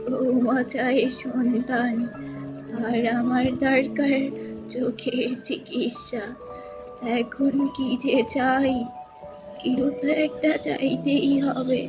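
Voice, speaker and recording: A woman playing a poor, clever old village mother-in-law in a children's fairy-tale cartoon, speaking plainly and deliberately. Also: sits high. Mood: pondering. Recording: loud background music.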